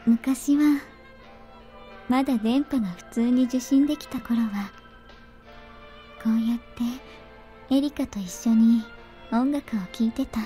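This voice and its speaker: Gentle childlike heroine, voiced by a woman; high, warm and soft, visual novel style. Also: moderate pace, long pauses, natural voice. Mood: wistful. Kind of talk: anime visual novel voice acting. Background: soft game music.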